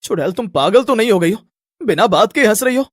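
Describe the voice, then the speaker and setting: Man playing a brash, animated poor village lad. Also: high voice, normal speed, dry recording, exaggerated voice. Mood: irritated. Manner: dramatic, complaining, blunt. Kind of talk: dramatised storybook tale.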